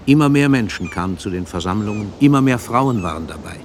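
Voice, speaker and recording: An actor playing an older poet who narrates his memories in a measured, lyrical, reflective way for a radio drama. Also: fairly low pitch, animated, a little hiss or hum, lightly acted. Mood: reflective, moved. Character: older male poet recalling his life, moved and reflective. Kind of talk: literary first-person narration in radio drama.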